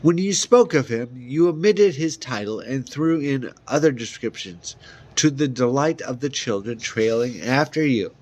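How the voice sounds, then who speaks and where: A man reading a fantasy novel aloud as an amateur, halting at times, with small stumbles and repeated words. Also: medium pitch, expressive, a little mellow, low-quality recording. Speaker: amateur reader.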